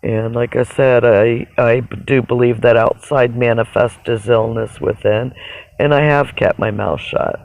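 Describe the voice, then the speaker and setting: A person with a raspy voice talks in a casual, rambling livestream monologue, halting and reflective, often pausing mid-sentence. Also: medium pitch, fairly even intonation, darkish tone, dry recording. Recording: dull, narrow phone-like sound.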